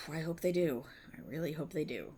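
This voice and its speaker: A woman's voice: low-pitched, a little slow, a little hiss or hum. A woman playing an exasperated, self-aware policewoman in an audio roleplay, in a sarcastic, indignant and theatrical manner. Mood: suspicious, irritated. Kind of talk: ASMR audio roleplay monologue, dramatic acting.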